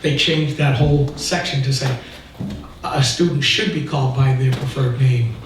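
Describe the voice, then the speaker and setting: A man's voice: mid-range voice, steady tone, moderate pace. An American man giving an informal talk at a local meeting, telling his story in an emphatic, indignant, explanatory way. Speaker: activist speaking at a local political meeting. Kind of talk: informal public talk, recounting an anecdote. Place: indoor meeting room, recorded from a distance. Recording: echoey room, distant mic, faint noise.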